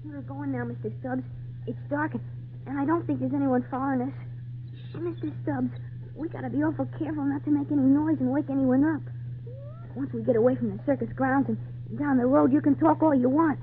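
Woman playing an earnest high-voiced runaway farm boy. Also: fairly even intonation, a little fast, close to an everyday voice. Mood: hushed, confiding. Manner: folksy, breathless, earnest child talk. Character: earnest, plucky runaway circus boy, folksy and frightened. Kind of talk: old-time radio drama acting. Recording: tinny, hissy 1940s radio recording.

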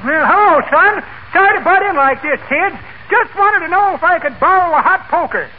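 An actor playing a sly, cagey old man in a 1940s radio comedy, drawling slowly with "uh" hesitations. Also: extremely high pitch. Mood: chatty. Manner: slow folksy drawl with "uh" hesitations. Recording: tinny old radio broadcast with hiss.

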